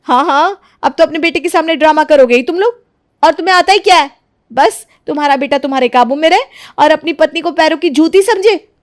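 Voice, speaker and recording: A scheming young daughter-in-law from a cartoon family drama, acted by a woman. Her voice sits high, and she argues in an accusing, self-righteous, melodramatic way. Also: brisk, no echo, studio quality, lightly acted. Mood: bitter sarcasm. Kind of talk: Hindi animated moral-story dramatization.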